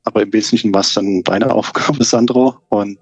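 Measured low-voiced band member, a man. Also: fairly even intonation, husky, no room sound. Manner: measured, deliberate, frequent fillers. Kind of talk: casual interview talk. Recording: slightly muffled lo-fi recording.

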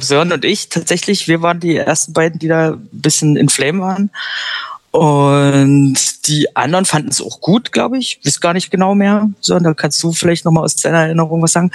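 A man's voice: a little high, no room sound. A man whose first language is German, a band's singer and lyricist, talking in a casual interview in a reflective, somewhat hesitant and self-deprecating way.

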